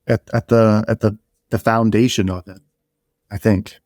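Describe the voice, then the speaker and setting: A man's voice: on the low side, little pitch movement, a little slow, a little mellow, dry recording, clean studio sound. A Canadian-raised man whose first language is Polish, co-hosting a podcast in rambling, casual chat with frequent restarts and casual swearing. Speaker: podcast co-host.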